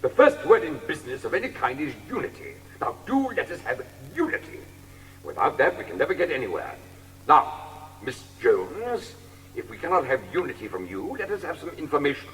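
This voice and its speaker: Gang boss: pompous, sinister, unctuous, hugely animated delivery, distant in a big echoing space. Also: male voice, on the high side, brisk, soft background music. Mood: pompous chiding. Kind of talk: dramatised audiobook acting. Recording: reverberant hall, distant from mic.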